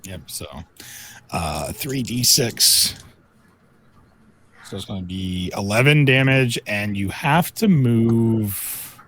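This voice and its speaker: A man with a fairly low voice speaks slowly and casually as a tabletop game player, announcing moves. There is faint noise behind him. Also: expressive, a bit bright.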